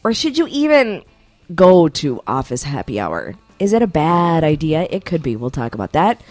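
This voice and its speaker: A woman hosts a morning radio show in a dry studio. Her voice sits low but moves in lively swings. She is chatty and losing her voice. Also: no room sound. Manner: chatty, quick to laugh. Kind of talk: casual morning radio banter. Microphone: studio microphone.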